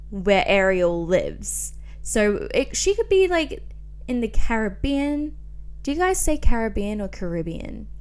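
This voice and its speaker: A woman in her twenties hosting a podcast in casual, chatty style, rambling through asides with lots of slang and emphatic, expressive phrasing. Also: fairly high pitch, clear, resonant tone. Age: 20s. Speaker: podcast host. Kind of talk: casual solo podcast chat.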